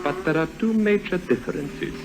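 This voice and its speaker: Smooth secret-agent baron, formal diction, lively intonation, clearly noisy old broadcast. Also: male voice, fairly high pitch. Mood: insistent. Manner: formal, insistent, deliberate diction without contractions. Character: suave, insistent foreign baron and secret agent. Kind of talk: 1950s radio drama acting.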